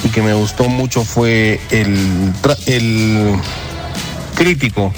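A man's voice: fairly low pitch, slow, over music. A man leaving a casual spoken message for a radio show. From Peru.

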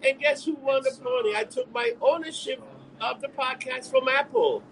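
A man's voice: extremely high pitch, moderate pace, a little hiss or hum, rough recording. A man from New York with a New York accent, chatting casually and brashly as a podcast host, swearing and rambling through stories. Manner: brash, profane, rambling storytelling. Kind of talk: casual bar-talk podcast chat.

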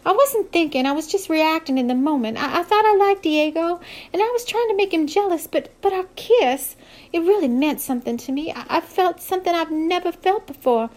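A woman's voice: very high voice, expressive, a little fast, darkish tone, voice pushed up. A woman voicing a flustered young woman who stammers and speaks breathlessly. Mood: apologetic.

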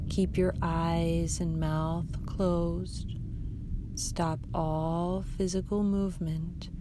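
A woman guiding a meditation in a soft, soothing, hushed voice that turns breathy at times, with unhurried instructions and long pauses. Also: fairly low pitch, background noise. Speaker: yoga nidra guide, host of the episodes. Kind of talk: guided meditation narration.

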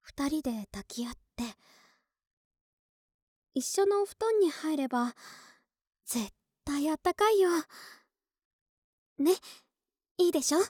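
Woman acting slow, high-voiced cutesy neighbor lady. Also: animated, warm tone, dry recording, clean studio sound. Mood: coaxing. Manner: cutesy, coaxing, intimate. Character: friendly, clingy young neighbor woman, older-sister type. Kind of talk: Japanese ASMR situation voice drama acting.

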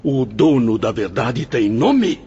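A Brazilian actor playing a scornful, hostile patient in a radio drama, with a gravelly voice. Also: male voice, medium pitch, sing-song, slow, dark tone, full character voice. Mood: scornful, taunting. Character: scornful, hostile male patient challenging another. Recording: muffled radio drama, faint noise.

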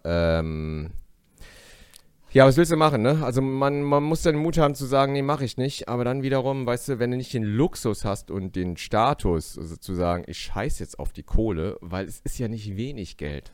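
A man who works as an actor, chatting casually on a podcast in an animated, talkative way full of fillers and self-mockery. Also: on the low side, normal speed, smooth, no room sound. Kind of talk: casual chat.

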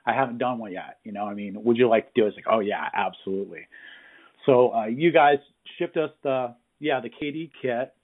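Husky man interviewing over a phone line. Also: mid-range voice. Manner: effusive, informal, halting phrasing. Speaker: interviewer, hands-on tradesman. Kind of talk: casual interview chat. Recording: husky-sounding, thin phone-line audio.

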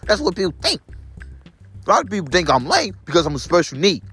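Young guy with a deep voice vlogging on his phone outside, pitch swoops all over, keeps repeating himself, faint music behind. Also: sing-song, fast talker, pushed and bright, husky. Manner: repeats phrases over and over, addresses viewers as guys. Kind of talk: rambling phone vlog on a walk. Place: outdoors in the rain. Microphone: phone camera.

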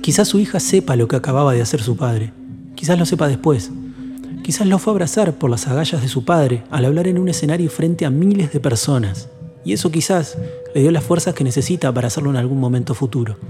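A man narrating a documentary podcast in a measured, solemn and lyrical way. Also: a little high, animated. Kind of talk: reflective scripted narration. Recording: long reverb with music bed.

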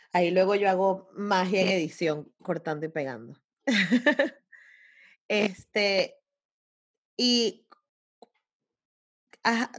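A woman interviewer chatting casually, with an informal, effusive manner. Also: on the low side.

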